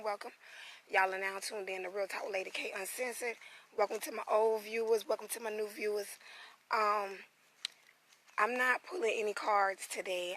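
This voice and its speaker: Hoarse chatty woman on a phone live stream. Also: medium pitch, a bit bright. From South Carolina, USA. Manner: chatty, greets viewers by name, drawn-out asides. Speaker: spiritual reader and vlogger. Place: outdoors on family land in the country. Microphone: phone camera.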